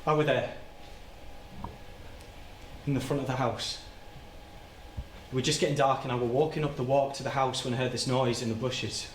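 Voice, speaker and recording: An actor playing an anguished, guilt-ridden working-class man in a halting, plain-spoken theatrical monologue. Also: medium pitch, fairly even intonation, natural voice. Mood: uneasy recollection. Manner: halting, plain-spoken, repeats and stumbles over words. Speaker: stage actor. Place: indoor soundstage. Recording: roomy, noisy soundstage livestream.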